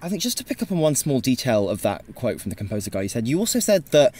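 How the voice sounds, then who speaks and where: A man's voice: mid-range voice, sing-song, fast talker, darkish tone. A man as a podcast panelist, giving casual analysis in a tentative, hedging way. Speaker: podcast panelist discussing audio drama.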